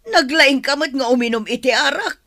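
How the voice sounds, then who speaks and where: A woman's voice: high voice, normal speed, sharp tone, dry recording, clean studio sound. A woman with an Ilocano accent playing a nagging, scolding older mother in an audio drama. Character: nagging older mother fretting over bills and gambling.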